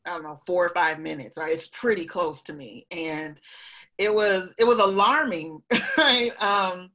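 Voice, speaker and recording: Female podcast guest, slightly low voice, thoughtful conversational answers, checks in as she goes. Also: a little slow, sharp tone. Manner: reflective, often checks with "right?". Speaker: guest, professor and author.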